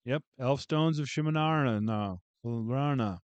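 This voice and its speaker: A man's voice: medium pitch, unhurried, clear, resonant tone, dry recording. A man casually going through a book haul on a video, chatting and joking with viewers as he reads out titles. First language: English. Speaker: booktube vlogger.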